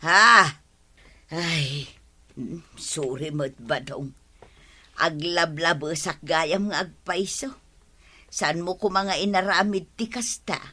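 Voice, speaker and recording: A woman's voice: fairly low pitch, slow, long pauses, sharp tone, full character voice. A woman with an Ilocano accent playing a strong-willed village wife in a radio comedy drama. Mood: sweet, apologetic.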